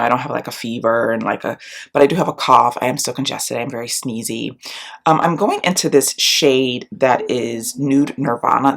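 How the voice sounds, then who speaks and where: A woman's voice: very deep voice, creaky. Congested woman chatting through a makeup vlog. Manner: chatty, rambling, lots of ums and likes. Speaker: beauty and book vlogger. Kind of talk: casual chat to camera. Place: small room at home, indoors. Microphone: camera close up, face framing.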